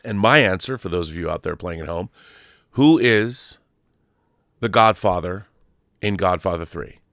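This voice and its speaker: A man's voice: low voice, measured pace, a bit bright, dry recording, studio quality. A middle-aged man hosting a film channel, talking casually off the cuff in a rambling, emphatic storytelling way and often correcting himself. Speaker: host of a film discussion channel. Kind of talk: casual unscripted monologue to viewers.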